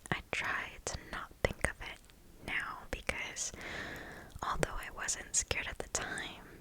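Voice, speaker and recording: ASMR storyteller, female. Hushed whisper throughout, unhurried and deliberate, right up on the mic. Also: low-quality recording. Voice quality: breathy unvoiced whisper. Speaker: ASMR host reading listener stories. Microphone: close microphone.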